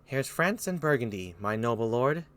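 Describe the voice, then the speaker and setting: A man's voice: medium pitch, expressive, normal speed, fairly bright tone, pitched below the performer's own voice, lightly acted. A man playing an earnest, honest princess who pleads with dignity in a stage tragedy. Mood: polite.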